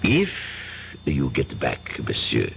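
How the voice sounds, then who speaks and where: Formal Frenchman for vintage radio drama: French accent, gravelly, wildly swooping delivery, halting phrases. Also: extremely low pitch, huge pitch swings, heavily acted. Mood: sly, menacing. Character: theatrical French man, sly and incredulous. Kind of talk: 1970s radio drama acting. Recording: dull old radio recording, faint noise.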